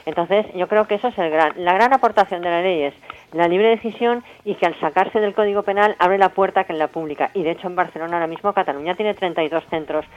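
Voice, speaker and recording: A woman's voice: on the low side, fast, highs cut off, a little hiss or hum. A middle-aged woman with an accent from Spain explains things emphatically and colloquially in an informal radio interview, often adding checking tag questions. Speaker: interviewed guest, health professional and feminist activist.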